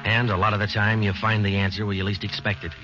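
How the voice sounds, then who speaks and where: An actor playing a stoic, businesslike police sergeant in a 1940s radio crime drama, talking in terse, matter-of-fact phrases. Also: male voice, on the low side, little pitch movement, fast talker, lightly acted. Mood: terse, deadpan. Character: stoic, businesslike male police sergeant, narrating his cases. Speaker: detective and narrator. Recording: dull old radio recording, loud music.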